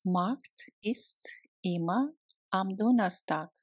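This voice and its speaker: Very slow, husky-voiced woman teacher. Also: mid-range voice, no echo, studio quality. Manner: breaks each phrase into chunks and repeats it. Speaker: language teacher. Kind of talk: slow phrase drill with translations.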